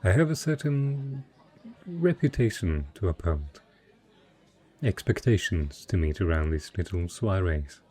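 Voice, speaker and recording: Suave fantasy merchant prince played by a man, deep and breathy-rough, mellow, formal, seductive, talking intimately to one listener. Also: lively intonation, a little slow, heavily acted. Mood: courteous, intrigued. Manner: courtly, formal, smooth-talking flirtation. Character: wealthy male merchant patron, secretly a dragon, suave and possessive. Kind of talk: romantic fantasy audio roleplay addressed to the listener.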